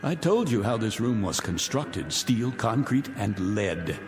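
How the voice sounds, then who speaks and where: A man's voice: fairly low pitch, big pitch swings, unhurried. A middle-aged actor playing a vindictive rich man taunting his guests in 1960s television drama style, mocking and grandiloquent. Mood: smooth, imperious. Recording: vintage TV soundtrack, reverberant, music behind.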